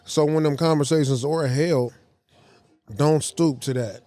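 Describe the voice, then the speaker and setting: A man's voice: a little high, fairly even intonation, unhurried, mellow, no room sound. A forty-year-old man, a guest on a podcast, talking in an earnest, reflective, slangy way. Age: 40s. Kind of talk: casual podcast conversation. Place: cigar lounge.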